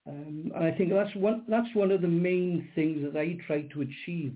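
A man's voice: a little high, moderate pace. An older man, a guest poet in a radio interview, speaking hesitantly with many ums and repeated words. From Wales. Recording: narrow phone-line sound.

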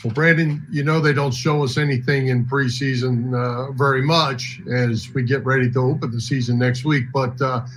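A man's voice: medium pitch, fairly even intonation, normal speed, few pauses, a little hiss or hum, rough recording. A man co-hosting a conversational sports talk show, commenting and asking questions.

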